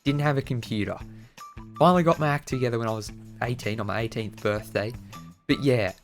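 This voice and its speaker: A man's voice: mid-range voice, fairly quick, faint music behind. A man in his early twenties with an Australian accent, chatting casually and playfully as he answers viewer questions. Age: early 20s. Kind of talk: casual Q&A video chat.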